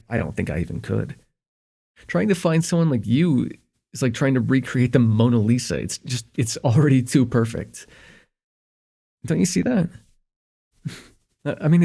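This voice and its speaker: A man playing a shy, smitten young student in an intimate ASMR roleplay, with an earnest, hesitant delivery and a husky, slightly gravelly voice. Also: medium pitch, exaggerated voice. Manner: hesitant, earnest, stammering, chuckling softly between phrases.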